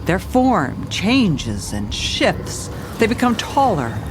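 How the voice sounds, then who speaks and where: A woman's voice: mid-range voice, wildly swooping pitch, slow pace, music in the background. A woman playing a grave police commissioner, narrating her case in a dramatic noir style. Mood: grave, uneasy.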